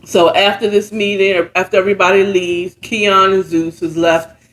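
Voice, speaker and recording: A man's voice: sits high, level tone, moderate pace, a bit bright, rough recording. An American man retelling a story in a conversational, slangy way, checking in with the listener and slipping into characters' dialogue. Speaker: host retelling and reacting to a story. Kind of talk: informal storytelling recap with commentary.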